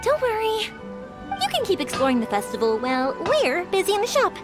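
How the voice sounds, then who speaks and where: A woman's voice: extremely high pitch, wildly swooping pitch, a little fast, over loud music, full character voice. A woman voicing an upbeat, encouraging young shopkeeper with a big-sister way of talking. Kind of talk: game voice acting.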